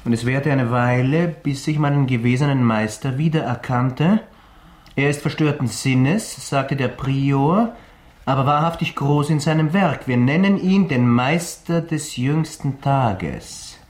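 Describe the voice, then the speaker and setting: An actor playing a serious, resolute gentleman of 1914 Vienna in a German radio play, speaking in a measured way. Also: mid-range voice, lightly acted. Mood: solemn. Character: Felix, sober, resolute gentleman in 1914 Vienna, reading and questioning. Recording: echoey room.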